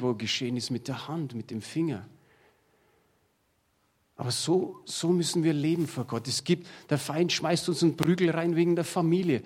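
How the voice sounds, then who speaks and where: Husky-voiced middle-aged male preacher. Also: a little high, darkish tone. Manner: conversational preaching with everyday examples. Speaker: pastor. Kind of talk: sermon.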